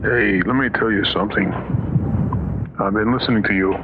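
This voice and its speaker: A man's voice: fairly low pitch, steady tone, normal speed, phone-quality sound. A man, a father on the line, speaking in a blunt, direct way. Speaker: caller's listener, father. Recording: noisy old phone tape.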